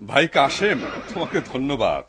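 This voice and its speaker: A man's voice: medium pitch, wildly swooping pitch, moderate pace, a bit bright. A man voicing a humble, devout woodcutter in a cartoon, kind and cautious, musing aloud to himself expressively. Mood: grateful. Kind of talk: dubbed cartoon voice acting. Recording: clear background noise behind the voice.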